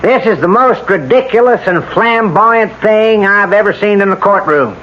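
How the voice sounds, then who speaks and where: An actor playing a skeptical, scornful prosecutor in a radio drama, formal and sharply challenging. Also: male voice, high voice, expressive, normal speed. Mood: indignant. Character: combative, skeptical male prosecutor, scornful and objecting. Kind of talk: 1970s-80s radio drama acting. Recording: dull, hissy old radio broadcast.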